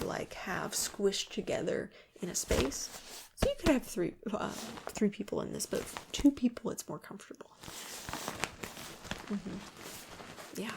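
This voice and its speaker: A woman speaking softly and often in a breathy whisper, gently and a little hesitantly, as a store clerk in an ASMR role-play. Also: mid-range voice, noisy background. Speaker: host role-playing a camping store clerk. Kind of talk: ASMR role-play, soft-spoken sales help.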